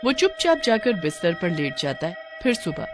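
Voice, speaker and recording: Lively storyteller with expressive, swooping intonation. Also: mid-range voice, moderate pace, music in the background. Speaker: narrator. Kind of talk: scripted dramatic horror-story narration.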